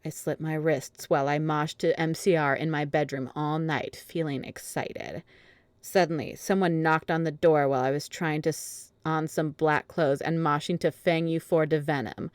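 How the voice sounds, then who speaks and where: A woman with a low voice narrates a fan fiction story. She reads the absurd text straight-faced, in a dry, close sound. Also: no echo. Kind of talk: dramatic reading of fan fiction.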